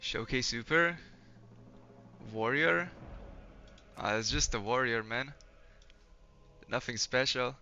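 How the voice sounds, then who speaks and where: A man's voice: mid-range voice, slow, pauses often, bright, forceful tone, faint music behind. A young man from Poland streaming a game, chatting casually with viewers, laid-back and swearing often. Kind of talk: casual live-stream chat while gaming. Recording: slightly dull lo-fi stream audio.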